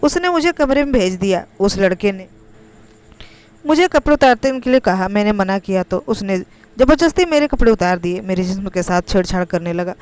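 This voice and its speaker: Clear, smooth-voiced woman narrating a story. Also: mid-range voice, expressive, brisk, a little mellow. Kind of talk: scripted first-person narration of an emotional Hindi story.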